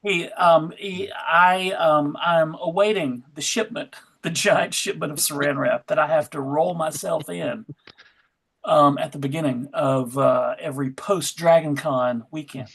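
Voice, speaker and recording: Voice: mid-range voice, fairly even intonation, measured pace, gravelly, a bit bright, slightly rough. A convention panel member and organizer chatting casually in a group of friends.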